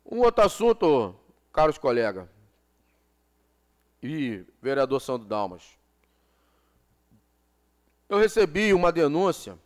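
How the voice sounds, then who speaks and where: A man's voice: on the high side, very animated, slow pace, long pauses. Impassioned man speaking at a council desk. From Brazil. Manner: impassioned, repeats phrases for emphasis. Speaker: city councilman. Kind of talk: council floor speech. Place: council chamber, indoors. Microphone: desk gooseneck microphone.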